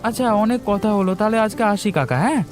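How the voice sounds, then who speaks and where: A man's voice: high voice, expressive, quick pace, a little hiss or hum. A man voicing an earnest, kindhearted young orphan milk boy in a village cartoon story. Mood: casual, sly. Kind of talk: cartoon voice acting.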